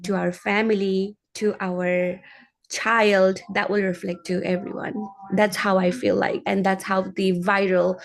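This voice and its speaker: A woman from Nepal with a Nepali accent, giving a personal advocacy speech in an earnest, halting way as a guest speaker. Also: medium pitch, gravelly.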